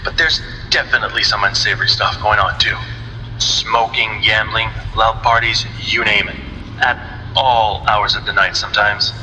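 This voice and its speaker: Wry studio worker, distant and echoing. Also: male voice, fairly low pitch, steady tone, moderate pace, sharp tone. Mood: wry, grumbling. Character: wry weary animation studio employee, recording an audio log. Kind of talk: video game audio log monologue. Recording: distant, long reverb, faint noise.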